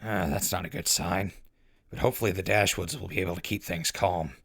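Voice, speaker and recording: A man's voice: sits low, fairly even intonation, brisk, fairly bright tone, slightly rough, full character voice. A man playing a wry, informal ghoul radio host in an audio drama, reading news and ads and given to grumbling. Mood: wry, easygoing. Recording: music behind voice.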